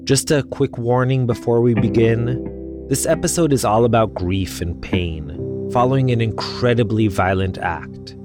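A man hosting a documentary podcast, reading a measured, somber scripted introduction. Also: medium pitch. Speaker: podcast host. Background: music bed.

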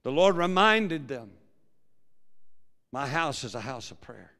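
A pastor preaching a sermon with a big, projecting voice that swings from quiet low musing to loud fervent preaching. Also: male voice, sing-song, takes it slowly. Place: large church hall. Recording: long hall reverb.

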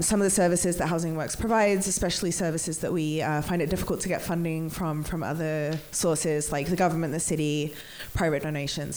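A woman's voice: sits low, darkish tone. An English woman hosting a bookstore event, nasal from a cold, chatty with many ums and restarts. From England. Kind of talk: event introduction.

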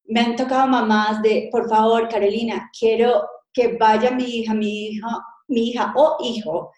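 Colombian-born lady chatting bout her work, acts out convos, mellow tone, kinda roomy sound. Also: a little high, moderate pace. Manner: lively storytelling, acts out dialogues. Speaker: guest, cake designer. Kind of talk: conversational interview.

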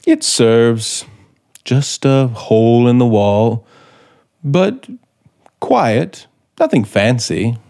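An actor playing a brooding, manipulative scriptwriter in 1950s radio suspense style, probing deliberately with dramatic pauses. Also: male voice, medium pitch, expressive, gravelly, mellow, heavily acted. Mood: probing, wry. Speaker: actor in the lead role. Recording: 1950s radio broadcast, music behind.

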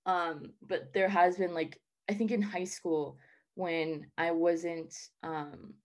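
A woman's voice: lowish voice, level tone, slow. A young woman from the American South answering interview questions in a casual, chatty way, full of "like" and "um". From Southern United States. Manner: chatty, lots of "like" and "um" fillers.

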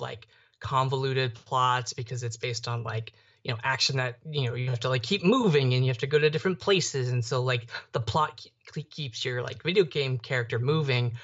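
A man's voice: medium pitch, fairly bright tone. A young man co-hosting a casual podcast, rambling and often restarting his thoughts midway. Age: young adult. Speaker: podcast co-host. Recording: slightly dull, muffled audio.

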